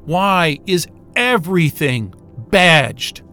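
An actor playing an irreverent, wisecracking astrophysicist in a sci-fi audio drama, with a sarcastic, informal and exasperated way of talking. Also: male voice, on the high side, big pitch swings, drawn-out words, music in the background, exaggerated voice. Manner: sarcastic, informal, hesitant muttering and outbursts.

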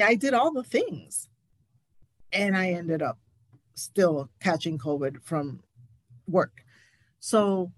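A woman's voice: on the low side, no room sound. A woman speaking as a nurse guest in a candid interview, emphatic and indignant, repeating points for stress. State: recovering from covid, stuffy.

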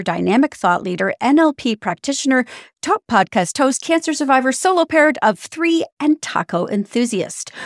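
A woman's voice: mid-range voice, vocal fry, no room sound, clean studio sound. A woman hosting a podcast interview, friendly and reflective, sharing personal stories. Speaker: podcast host.

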